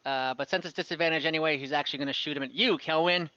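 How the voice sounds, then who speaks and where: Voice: mid-range voice, fairly even intonation, racing, noisy background. A game master running an online tabletop game, improvising narration and often thinking aloud with hesitation. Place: room, online voice call. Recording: muffled, echoey room.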